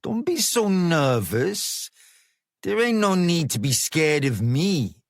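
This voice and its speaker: A man voicing a cocky, flashy male gang leader who talks in slang, smooth and menacing. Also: fairly high pitch, sing-song, slow, no room sound, studio quality, voice pushed up, full character voice. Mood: coaxing. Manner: coaxing street slang, persuasive.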